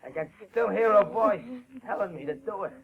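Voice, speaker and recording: An actor playing an obsessed, desperate young war veteran turned sniper in an old radio melodrama, agitated and repetitive. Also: male voice, high-pitched, brisk, vocal fry. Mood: frenzied. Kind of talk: 1940s radio melodrama acting. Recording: muffled, noisy old radio broadcast.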